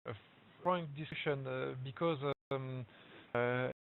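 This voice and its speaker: A man's voice: mid-range voice, slow pace, gravelly, clear, resonant tone. A man with a strong French accent, a native French speaker, gives a webinar presentation in English, hesitating often with fillers and restarts. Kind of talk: halting presentation in a second language. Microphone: web conference audio. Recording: tinny phone-like web call audio with hiss.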